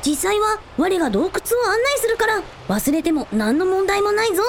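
A woman's voice: very high voice, very animated, normal speed, a little hiss or hum, heavily acted. A woman playing a young, earnest dragon prince in a radio drama, speaking in archaic lordly phrases with childlike openness. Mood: kindly, proud. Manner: old-fashioned lordly speech with childlike openness. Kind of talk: Japanese radio drama acting.

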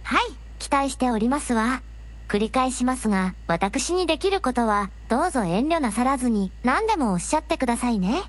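A polite young princess, voiced by a synthetic woman in a radio drama. Her voice is very high with wide, lilting pitch movement. She speaks in refined, formal phrases with earnest courtesy. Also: sing-song, fairly quick.

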